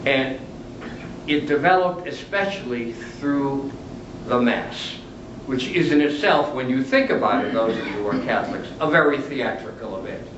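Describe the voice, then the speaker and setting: A man's voice: medium pitch, animated, moderate pace. An older man, a retired literature professor, lecturing at a lectern in a large room, chatty and humorous with chuckles at his own jokes. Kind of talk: lecture with asides. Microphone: camera at the back of the room. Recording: roomy, distant, dull, noisy camera audio.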